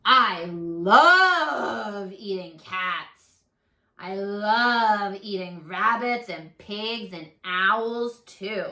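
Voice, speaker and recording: A woman voicing a big, hungry, sly wolf from a children's storybook, with slow, drawn-out, menacing delivery. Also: mid-range voice, lively intonation, sharp tone, reverberant, voice pushed down, exaggerated voice. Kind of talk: children's storybook read-aloud.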